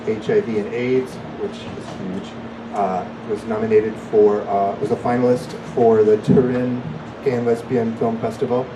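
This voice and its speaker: A man hosting a live reading event, giving a spoken introduction of an author. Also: fairly low pitch. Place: bookstore cafe reading event. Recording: distant mic, noisy room with hum.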